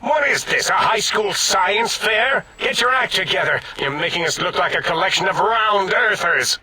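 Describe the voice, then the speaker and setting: Bombastic, high-pitched robot scientist. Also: male voice, moderate pace, bright, forceful tone. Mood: exasperated, yelling. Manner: bombastic, exasperated, scolding. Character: irascible robotic brain scientist, pompous leader of bickering colleagues. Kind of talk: video game voice acting, retro sci-fi comedy.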